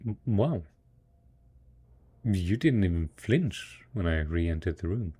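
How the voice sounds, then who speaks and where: A man plays a devoted husband in an intimate post-apocalyptic audio roleplay. His voice is low, soft and husky, with a dark, relaxed tone. He speaks very slowly and a little hesitantly, patiently coaxing his listener as one would a child. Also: full character voice. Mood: pleasantly surprised. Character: devoted, patient husband tending zombie spouse after apocalypse.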